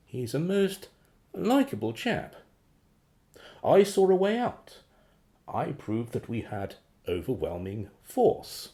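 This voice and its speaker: A glib male secret agent with a mellow, dark-edged voice that swings wildly up and down. He reports to his boss with polite, slippery evasions, theatrically animated in radio drama style. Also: medium pitch, heavily acted.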